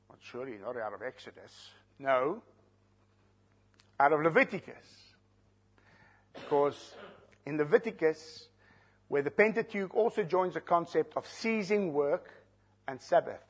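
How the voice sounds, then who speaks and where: A man, an Afrikaans speaker, teaching a sermon verse by verse, slowing down to stress key words and putting questions to the congregation. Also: on the high side, slightly rough. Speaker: preacher. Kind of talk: expository Bible teaching.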